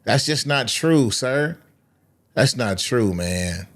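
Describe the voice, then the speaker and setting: Guy hosting a live stream, arguing back, says stuff over again to make his point, medium pitch. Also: lively intonation, takes it slowly. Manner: repeats phrases for emphasis, argumentative. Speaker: host of a live online discussion show. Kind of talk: casual debate, reacting to live comments.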